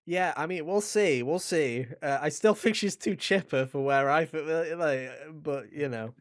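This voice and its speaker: A man co-hosting a gaming podcast, chatting casually and enthusiastically in an animated, rambling way. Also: on the high side, measured pace, no room sound, clean studio sound. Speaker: podcast co-host reviewing a video game.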